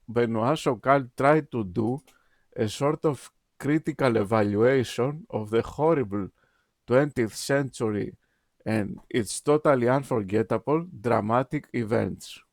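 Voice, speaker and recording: A man whose first language is Greek, reading his written game review aloud in a slow, deliberate, emphatic way with many pauses. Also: medium pitch, no room sound.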